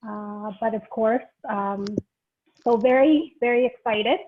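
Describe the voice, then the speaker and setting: High-voiced middle-aged woman on a tinny call. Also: slow, a little hiss or hum. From Vietnam. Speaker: host of an online ceremony. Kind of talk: informal hosting on a group video call. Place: remote online video call. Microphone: video call microphone. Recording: tinny, band-limited video call audio.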